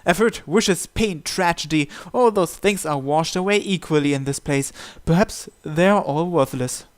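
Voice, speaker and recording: A man's voice: a little high, normal speed. A man reading visual novel text aloud as a narrator, with game music behind him.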